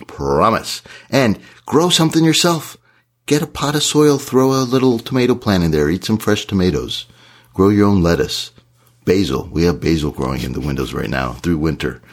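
A man, hoarse from shouting, co-hosting a podcast in a casual, encouraging way. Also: sits low, animated, normal speed. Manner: earnest, encouraging advice. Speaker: podcast co-host.

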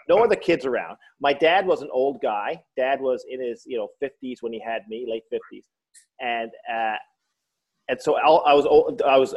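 A man chats as a guest, a comedian and author with a joking, storytelling way of talking. His pitch sits in an ordinary middle range. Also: slightly rough. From Newfoundland. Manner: joking storyteller, slips into voices. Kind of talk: casual interview.

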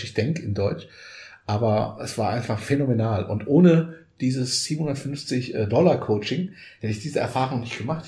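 Mellow-voiced man, echoey room. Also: mid-range voice. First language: Portuguese. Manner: animated storyteller, frequent tag "ne?". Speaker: coaching expert guest. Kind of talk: conversational podcast storytelling.

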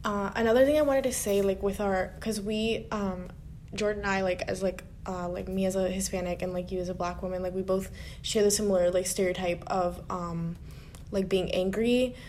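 A young woman co-hosting a casual roundtable discussion, outspoken and animated, with lots of filler words and a strong voice. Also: medium pitch, moderate pace, a little hiss or hum. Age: young adult. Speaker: staff writer and podcast co-host.

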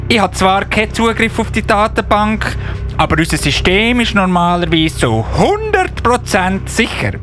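A man acts out an eager little Christmas elf policeman for a children's audio play. The voice sits very high and leaps about in pitch, with a Swiss German accent. He talks haltingly and excitably, over faint music. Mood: explaining, flustered. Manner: halting, excitable, often interjecting excuses.

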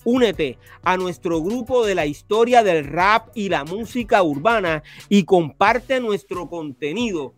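High-voiced, slow-speaking male podcast host. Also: animated, faint music behind. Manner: slow, deliberate questions with many "eh" fillers. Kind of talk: casual interview.

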